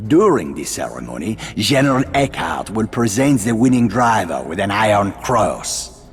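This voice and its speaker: Deep, grandiose French resistance leader. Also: male voice, sing-song, normal speed, breathy edge, big-room sound, soft background music, full character voice. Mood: confident, sly. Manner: theatrical, eloquent, rousing. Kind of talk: cinematic video game acting.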